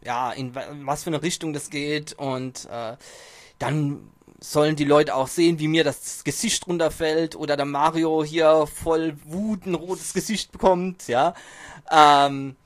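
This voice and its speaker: Male podcast host, a bit high-pitched, joking and chatty, home studio. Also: slow pace, no room sound. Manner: jokey, self-mocking, chatty. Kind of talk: casual podcast chat. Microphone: home mic, distance varies.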